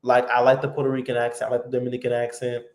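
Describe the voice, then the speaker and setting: A man hosting a casual live-stream chat, relaxed and talkative with lots of fillers. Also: mid-range voice, racing, roomy sound. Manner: relaxed, chatty, many fillers. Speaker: host.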